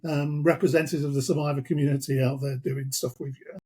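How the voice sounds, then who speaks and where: Mellow husky male interviewer. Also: mid-range voice, little pitch movement. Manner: rambling, builds questions slowly with kind of and you know. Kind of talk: conversational interview questions.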